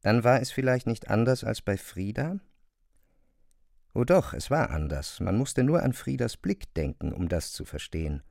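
A man narrating a literary audio drama with measured, precise phrasing. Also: on the low side, expressive, creaky, a little mellow, no echo, clean studio sound.

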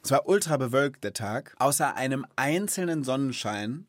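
Conversational podcast host with a middling pitch. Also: expressive, moderate pace, no echo, clean studio sound. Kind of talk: casual, reflective chat for a children's podcast.

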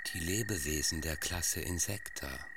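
A man narrating scientific text for a radio play in measured, fragmented phrases with deliberate pauses, over electronic music. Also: low voice. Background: electronic techno with insect and frog sounds.

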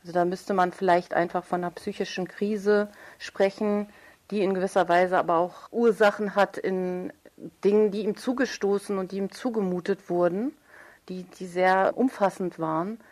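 A woman's voice: medium pitch, fairly even intonation, moderate pace, a little mellow. A woman speaking as an interviewed writer, thoughtful and articulate, searching for precise wording as she reflects on literature. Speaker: writer interviewed about own radio play.

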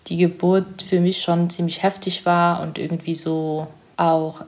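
A young woman in a reflective interview, her voice sitting a little on the low side. She talks hesitantly, circling and searching for the right words, with frequent small fillers. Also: little pitch movement, a little slow, darkish tone. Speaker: author interviewed about her book.

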